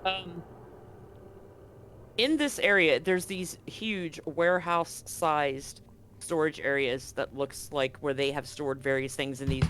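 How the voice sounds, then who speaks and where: A man's voice: fairly high pitch, fairly bright tone, a little hiss or hum. A man narrating as game master of a tabletop roleplaying game, casual, with fillers.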